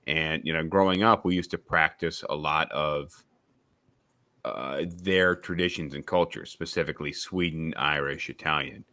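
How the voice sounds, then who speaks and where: A man's voice: rumbling low, normal speed, bright, forceful tone. A young man from upstate New York hosting a casual podcast discussion, rambling with many restarts and filler phrases. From suburbs of Albany, New York. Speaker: podcast host.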